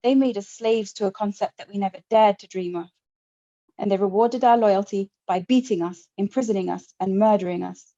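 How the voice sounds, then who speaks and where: A defiant male rebel orator rallies a crowd with a fiery, rousing speech, as a woman reads his lines aloud. The tone is mellow and warm. The audio is dull and lo-fi. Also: mid-range voice, brisk, lightly acted.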